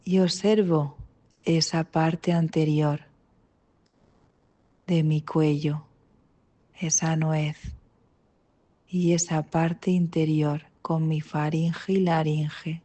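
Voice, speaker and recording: A woman with an accent from Spain leading a guided meditation, calm and gentle, with long pauses between short instructions. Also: sits low. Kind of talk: guided mindfulness body scan meditation.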